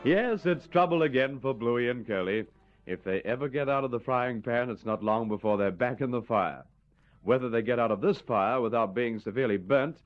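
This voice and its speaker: A man with an Australian accent announcing an old radio serial in scripted narration, on a dull vintage recording. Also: medium pitch.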